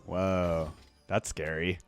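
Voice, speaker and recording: A man's voice: sits low, flat delivery, slow pace, faint music behind. A man hosting a reaction video, commenting casually and with easy, amused enthusiasm.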